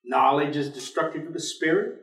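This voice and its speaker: An actor playing a weary, stubborn intellectual professor in a staged play reading, speaking in a dry, precise and formal way. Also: male voice, on the high side, a little fast, gravelly, fairly bright tone, slight background noise. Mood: cynical. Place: indoor theater stage. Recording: echoey theater, distant microphone.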